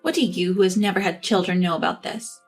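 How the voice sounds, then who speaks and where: A woman's voice: lowish voice, fairly even intonation, fast talker, husky, faint music behind. A woman voicing a snide, entitled sister-in-law and young mother with a condescending, whiny manner. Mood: indignant.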